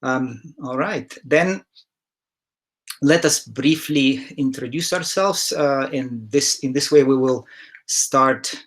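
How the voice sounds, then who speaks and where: A middle-aged man from Ukraine hosting an online session over a headset mic on a video call, speaking haltingly with many filler sounds and the odd throat clearing. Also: medium pitch, a bit bright. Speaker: interview host. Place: home room, indoors.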